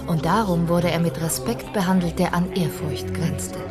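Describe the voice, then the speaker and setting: An actress playing a storyteller in a scripted radio play, narrating a whimsical fairy tale. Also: female voice, lowish voice, normal speed, lightly acted. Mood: storytelling. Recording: music under the voice.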